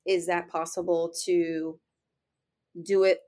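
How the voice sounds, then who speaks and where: A woman's voice: on the low side, takes it slowly. A young woman with a Puerto Rican accent, an actress speaking earnestly in a casual interview, filling her talk with "you know.". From Puerto Rico heritage. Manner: earnest, animated, many "you know" fillers.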